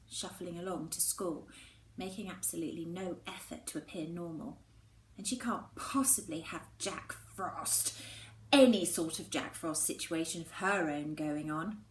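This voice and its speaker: A woman speaking as a primary teacher reading aloud to children, with expressive storybook delivery. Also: medium pitch. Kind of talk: expressive children's storybook narration with casual greetings. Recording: echoey room, faint background noise.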